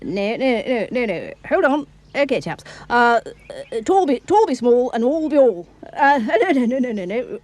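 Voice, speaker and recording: A woman's voice: on the high side, big pitch swings, normal speed, hardly any pauses, darkish tone. A woman voicing an eager, bumbling little cartoon busybody girl, fussy and politely old-fashioned. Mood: flustered, dithering. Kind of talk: fan-dubbed children's cartoon acting.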